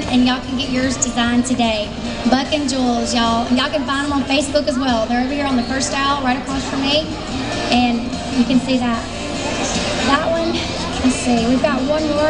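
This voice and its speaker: High-voiced Southern woman emceeing a show. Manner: chatty, folksy sales patter with fillers. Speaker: fashion show emcee and booth owner. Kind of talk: announcing a live fashion show. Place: large expo hall stage. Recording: distant voice over loud music in a hall.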